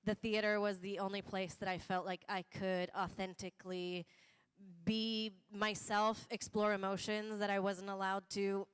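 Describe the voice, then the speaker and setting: A woman's voice: mid-range voice, little pitch movement, normal speed, smooth. A woman from Norway, an actor on a panel, speaking reflectively and searching for the right words. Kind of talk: panel talk. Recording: reverberant hall.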